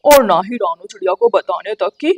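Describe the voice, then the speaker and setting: A woman's voice: mid-range voice, expressive, moderate pace, darkish tone, a little hiss or hum, close to an everyday voice. A woman voicing a scheming, mocking male crow in a children's cartoon, with a sly, taunting way of talking. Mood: sly, coaxing. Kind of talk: Hindi children's cartoon dialogue.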